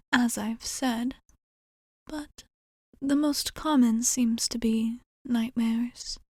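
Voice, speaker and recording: A woman voicing a composed, caring vampire bartender in an ASMR roleplay, half-whispering with measured, formal wording and thoughtful pauses. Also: a little high, mellow, no room sound, studio quality, heavily acted. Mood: calm, reflective. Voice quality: breathy, whispery.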